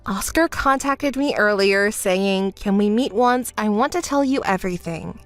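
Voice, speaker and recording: A woman voicing a calm, fed-up young woman who answers in a level, dry, scolding way in a dramatized story. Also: mid-range voice, measured pace, no room sound, soft background music, pitched below the performer's own voice, lightly acted. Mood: cool.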